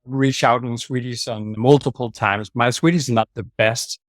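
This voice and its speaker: A man's voice: medium pitch, steady tone, normal speed, dry recording, clean studio sound. A man with a Danish accent, a sales manager giving direct, plain-spoken answers as an interview guest over a video call. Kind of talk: casual interview talk.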